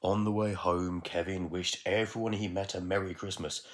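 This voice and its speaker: A man with a low voice reads a storybook aloud. He is measured and expressive, leaving dramatic pauses as he tells it. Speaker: teacher reading a bedtime story.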